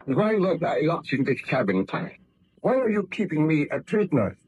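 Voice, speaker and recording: An actor playing a tormented, guilt-ridden aristocratic scientist in an old radio horror drama, with grave, formal, theatrical delivery. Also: male voice, a little high, very animated, moderate pace, darkish tone, dull audio, a little hiss or hum. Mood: agitated, anguished.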